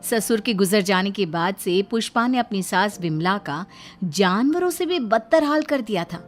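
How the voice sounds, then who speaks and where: A woman's voice: mid-range voice, animated, normal speed, soft background music. A woman reading a scripted moral story as its narrator.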